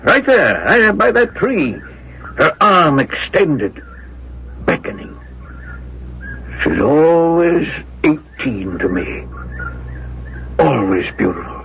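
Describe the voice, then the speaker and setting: A husky old man in a 1970s radio drama, haunted and wistful with love for someone long dead. His delivery is halting and emotional, on a muffled old broadcast recording. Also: on the high side, very animated, a bit bright, full character voice. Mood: rapt longing. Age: older.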